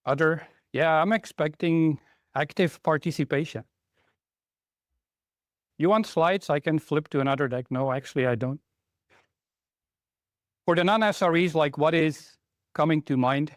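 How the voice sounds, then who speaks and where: A man's voice: fairly high pitch, a little slow, pauses often, no room sound, clean studio sound. Middle-aged Belgian-born man presenting to a room. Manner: conversational, asks the audience questions. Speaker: conference speaker, technical trainer. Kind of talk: interactive conference talk. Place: conference room, in front of a live audience.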